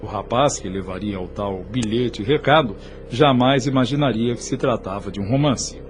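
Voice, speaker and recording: A man narrates an audio drama with a mid-pitched voice. His storytelling is solemn and literary, with music faintly behind him. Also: normal speed.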